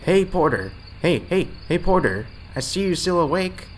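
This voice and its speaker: A man's voice: sits high, very animated, moderate pace, slight background noise. A man playing a friendly rail yard night foreman, explaining things plainly in an audio drama. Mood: friendly, coaxing. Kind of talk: fan audio drama acting.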